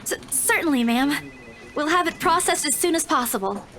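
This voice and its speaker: A woman's voice: sits high, lively intonation, moderate pace, sharp tone. A woman playing a timid, earnest young female estate agent in a visual novel, hesitant and polite with a slight stammer. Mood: flustered, polite.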